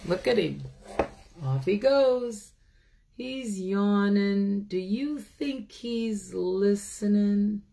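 Older female storyteller for children's picture books, very unhurried, chatty, question-asking delivery. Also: mid-range voice, lively intonation, gravelly, dark tone. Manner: slow, asks listeners questions. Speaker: pastor hosting children's story time. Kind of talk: animated children's storybook reading with chatty asides. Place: small room at home, indoors. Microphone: phone or webcam at arm's length.

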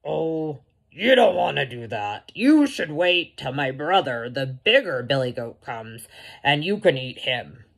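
Medium billy goat: polite, persuasive, animated, low for a female voice, storybook tale woman performing. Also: measured pace, gravelly, heavily acted. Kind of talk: children's storytelling.

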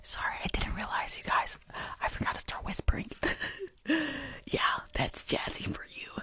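A woman in her twenties doing hushed ASMR roleplay and livestream chat, murmuring repetitive little phrases close to the microphone. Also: normal speed. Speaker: ASMR creator and livestream host. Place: small indoor room at home. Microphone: close desktop microphone, earphones on.

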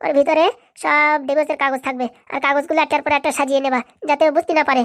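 A woman's voice: sits high, little pitch movement, very fast, dry recording, clean studio sound. A woman voicing a bossy, boastful, scheming female power broker in a cartoon, brash and commanding. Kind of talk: amateur cartoon voice acting.

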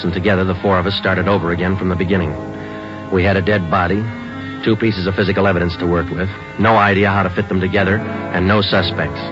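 Man as a deep-voiced, fast, deadpan detective narrating; lo-fi radio with music. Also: close to an everyday voice. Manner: clipped, matter-of-fact. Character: terse male police detective, middle-aged. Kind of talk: 1950s radio drama narration. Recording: dull old radio recording with music.

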